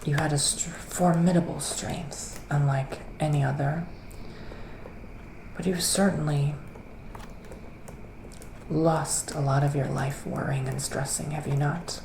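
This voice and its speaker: Gentle male psychic witch, acted by a man, soft ASMR delivery drifting into whispers, gravelly fry, roomy noisy recording. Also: fairly high pitch, steady tone. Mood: soothing, assured. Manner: hesitant, drawn-out phrasing with pauses. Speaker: ASMR performer. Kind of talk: soft-spoken ASMR roleplay, partly whispered. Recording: roomy echo, clear background noise.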